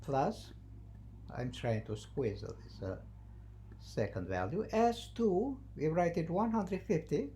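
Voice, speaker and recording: Math teacher, non-native English speaker, soft dark tone, halting and repetitive, working through steps, faint noisy hum. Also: mid-range voice, very animated. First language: not English. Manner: halting, repetitive, thinking aloud while writing. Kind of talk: math lesson, working through a problem at the board.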